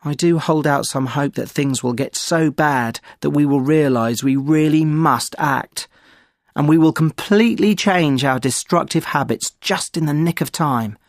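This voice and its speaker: A man giving a measured, opinionated sample answer in a scripted exam speaking recording. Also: on the high side, dry recording, clean studio sound.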